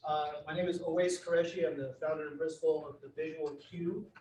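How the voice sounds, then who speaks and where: A man introducing himself as a marketing firm founder, with polished, pitch-like phrasing. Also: steady tone, fairly quick, darkish tone, distant mic, a little hiss or hum. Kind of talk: self-introduction at a business meeting. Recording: roomy meeting room from afar.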